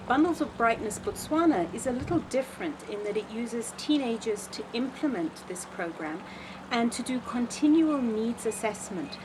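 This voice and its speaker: A middle-aged woman, a charity founder and project leader, explaining her program steadily in a documentary interview. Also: fairly high pitch. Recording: clear background noise with passing vehicles.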